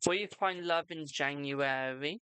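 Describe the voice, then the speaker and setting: A British tarot reader hosting a live stream, chatting casually and answering viewers' questions with a brisk "my darling". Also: mid-range voice, bright, forceful tone, clear, resonant tone, dry recording. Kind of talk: casual live-stream chat and card readings.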